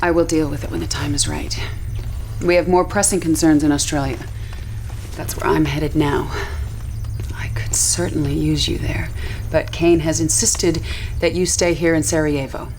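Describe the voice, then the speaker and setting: A woman's voice: low-pitched, fairly even intonation, normal speed, a little mellow, slight background noise. An actress playing a determined, earnest female military leader in a video game cutscene, speaking in an urgent, confiding way. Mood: confiding, troubled. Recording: music behind the voice.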